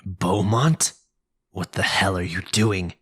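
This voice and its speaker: A man playing a sinister, possessive vampire lord in an audio roleplay, commanding and formal, with a breathy, gravelly voice. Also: sits low, fairly bright tone, dry recording, studio quality, full character voice. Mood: angry. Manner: commanding, formal, drawn-out menacing delivery. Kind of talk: boyfriend ASMR audio roleplay.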